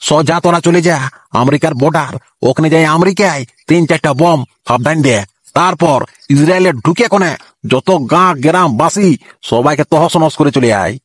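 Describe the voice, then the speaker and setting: A man doing a gruff cartoon army commander. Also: on the high side, no echo, pitched below the performer's own voice, close to an everyday voice. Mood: commanding. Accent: Purulia Bengali. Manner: barking orders, slow and deliberate. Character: gruff male army commander giving orders. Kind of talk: comic cartoon voice acting.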